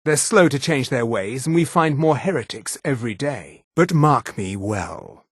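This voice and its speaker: Snooty high elf officer for a fantasy game, clipped n condescending, really animated delivery, bit of husk. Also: male voice, a little slow, slightly rough, dry recording, studio quality. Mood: haughty, menacing. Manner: disdainful, formal, clipped authority. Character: haughty male high elf officer of an imperious regime. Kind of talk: fantasy video game character dialogue.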